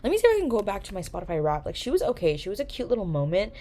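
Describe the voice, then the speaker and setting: A woman's voice: mid-range voice, rapid-fire. A young woman from New York hosting a casual, chatty vlog, swearing and joking as she talks. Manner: chatty, animated, swears and jokes. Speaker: vlogger, podcast host. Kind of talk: casual chat to camera.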